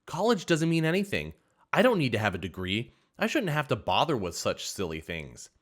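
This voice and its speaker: A man voicing an entitled, spiteful older woman server who scolds and rants loudly. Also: huge pitch swings, normal speed. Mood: shrill indignant. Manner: shrill, scolding, indignant.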